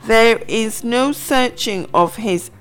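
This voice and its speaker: Female radio Bible teacher, unhurried and reflective, soft guitar bed under her. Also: on the high side, smooth, poor audio. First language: not Hebrew. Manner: slow, reflective, reads scripture aloud. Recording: faint guitar music behind. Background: soft guitar music.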